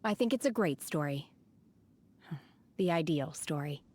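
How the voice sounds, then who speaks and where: Casting: reserved young female game character, lower register, formal and solemn, restrained delivery. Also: animated, a little slow, darkish tone. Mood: subdued reflective. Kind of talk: video game character acting. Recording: background music.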